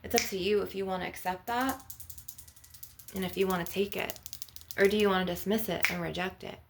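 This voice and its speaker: Gravelly-voiced woman giving an ASMR healing session. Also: mid-range voice, steady tone, brisk, background noise. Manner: earnest, affirming, instructive. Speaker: spiritual healer and channel host. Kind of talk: ASMR spiritual healing session, addressing the viewer.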